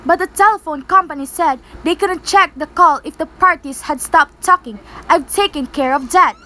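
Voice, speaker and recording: An extremely high female voice of a young student actress plays a nervous invalid wife in a radio play. She is fretful and demanding, pressing her point with impatient insistence. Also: moderate pace, sharp tone, a little hiss or hum. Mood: demanding, agitated. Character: anxious, demanding invalid wife, easily exasperated. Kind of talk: amateur radio-play acting.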